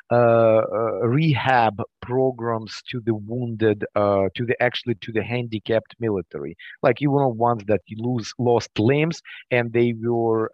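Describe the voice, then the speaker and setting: A man's voice: medium pitch, no room sound, highs cut off. A man from Ukraine speaking English with a Ukrainian accent, answering as an interview guest with many fillers and restarts.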